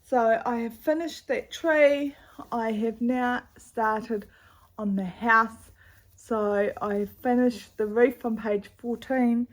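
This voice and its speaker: A middle-aged woman with a slightly croaky voice, chatting casually at home about her cross-stitch projects with a rambling, chatty manner, recorded on a camera's built-in mic. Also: a little high, steady tone, slow, rough recording. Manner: chatty, rambling, with fillers and asides. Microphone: camera's built-in mic, no external microphone.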